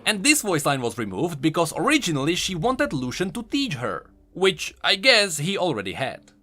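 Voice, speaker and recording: A man's voice: a little high, huge pitch swings, normal speed, bright, forceful tone. A man giving emphatic, explanatory scripted commentary on video game lore as an online host. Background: background music.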